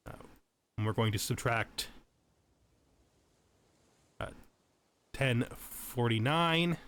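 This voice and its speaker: A man's voice: medium pitch, extremely slow, fairly bright tone. A man giving casual tutorial commentary on a game as its host, explaining things and thinking aloud as he goes, often correcting himself. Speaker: let's play host.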